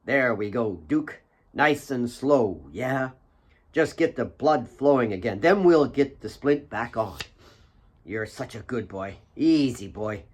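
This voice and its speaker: A man's voice: expressive, takes it slowly. A man voicing a tough man who talks tenderly and reassuringly to his injured dog. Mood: tender, coaxing. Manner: low-key, coaxing, reassuring.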